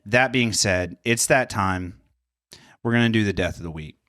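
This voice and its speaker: A man's voice: on the low side, moderate pace, fairly bright tone, no room sound, studio quality. A man hosting a podcast, chatting casually, swearing freely and rambling with frequent laughs. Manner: profane, laughs often, loose and rambling. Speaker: podcast host. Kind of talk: casual comedy chat.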